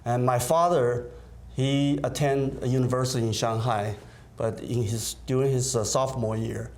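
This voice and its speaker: Hesitant man with mid pitch. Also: steady tone, normal speed, darkish tone. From Taiwan. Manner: hesitant, many fillers and restarts. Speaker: concert organizer. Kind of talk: interview answers.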